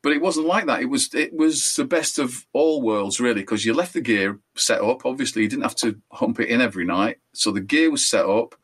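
Older man, high voice, chatty, rambling anecdotes, self-correcting. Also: fairly quick, few pauses, fairly bright tone, husky. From England. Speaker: musician guest. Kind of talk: relaxed storytelling interview.

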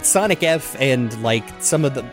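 A man's voice: medium pitch, lively intonation, normal speed, over music. A man hosting a casual chat show, talking in an informal, jokey and rambling way. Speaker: podcast host.

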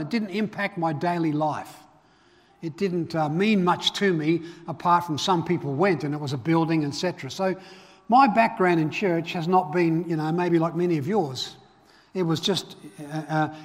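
Conversational male pastor in a reverberant hall. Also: fairly high pitch. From Northern Ireland. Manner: conversational, with fillers and restarts. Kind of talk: sermon. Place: church auditorium.

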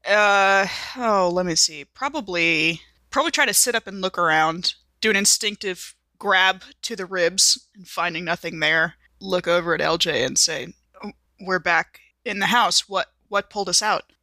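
A woman's voice: on the low side, moderate pace, bright, forceful tone, no echo. A woman player in a tabletop horror game, tentatively thinking aloud about what her character does.

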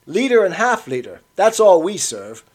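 A man's voice: high voice, very animated, moderate pace, voice pushed up. A man voicing a burly young barman who answers bluntly and dismissively. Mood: curt.

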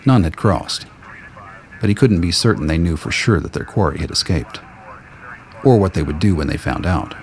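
A man's voice: low-pitched, lively intonation, normal speed, pauses often. A man delivering scripted, dramatic narration for an audio play. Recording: noise, ambient sound effects behind.

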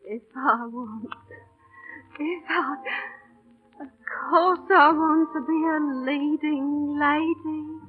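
An actress playing a feisty, quick-tempered young waif, tearful and defiant, in old radio drama style. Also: female voice, sits high, steady tone, faint music behind. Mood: stunned, hopeful. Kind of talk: 1930s-40s radio drama acting. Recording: tinny old radio broadcast.

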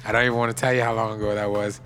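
A man's voice: lowish voice, level tone, rapid-fire, fairly bright tone, soft background music. A middle-aged man from Trinidad, a podcast guest, chatting playfully and joking with the host. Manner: playful, joking, laughs often. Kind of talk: casual podcast chat. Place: podcast studio.

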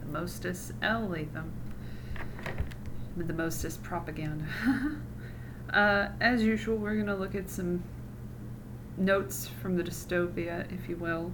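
Woman, podcast host, sarcastic, swears a lot, lowish voice, hissy home recording. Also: measured pace, pauses often. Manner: sarcastic, mocking, swears freely. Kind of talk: casual solo podcast chat.

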